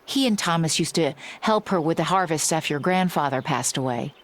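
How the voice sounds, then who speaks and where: A woman's voice: low voice, expressive, fairly quick. A woman playing a fond village neighbor in a video game, speaking in a conversational way. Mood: nostalgic.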